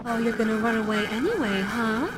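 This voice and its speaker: Woman voicing a high, teasing animatronic fox girl. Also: a little fast, very noisy, lightly acted. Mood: playfully menacing. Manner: teasing, sing-song, giggly. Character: playful, menacing animatronic fox girl hunting an intruder. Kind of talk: character audio roleplay.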